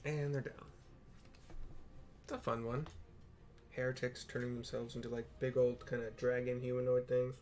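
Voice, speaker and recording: A man's voice: mid-range voice, level tone, normal speed, pauses often, darkish tone, slight background noise. A man giving casual game playthrough commentary, thinking aloud in an informal, hesitant way. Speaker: gaming video host.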